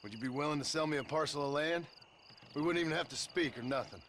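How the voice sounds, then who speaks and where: An actor playing a gruff, dry American cowboy gunslinger in a western video game, blunt and understated. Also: male voice, a little high, quick pace, fairly bright tone, a little hiss or hum, close to an everyday voice. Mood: plain.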